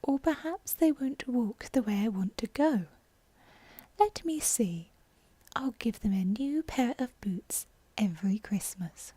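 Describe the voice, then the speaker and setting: A woman's voice: fairly high pitch, animated, slow pace, warm tone, slightly rough, dry recording, exaggerated voice. A woman voicing a curious, dreamy young girl from a storybook, talking to herself in exclamations and musings. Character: curious, dreamy, self-scolding young girl, prone to chatter.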